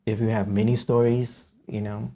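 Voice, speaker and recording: A man from Indonesia with an Indonesian accent, hosting a casual interview and haltingly searching for words, often repeating himself. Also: fairly low pitch, level tone, dark tone, slight background noise. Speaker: podcast host, interviewer.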